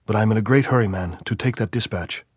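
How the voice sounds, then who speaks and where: A man voicing a quick-witted, nervy young Spanish guerrilla who shouts his answers and cracks sardonic jokes. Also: fairly low pitch, slightly rough, no room sound, studio quality. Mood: urgent.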